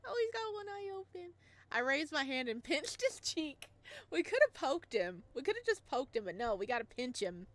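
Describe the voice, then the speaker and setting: A woman hosting a visual novel playthrough, laughing and reacting excitedly. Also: sits high, moderate pace, no echo. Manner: giggly, excitable, animated reactions. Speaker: let's play host.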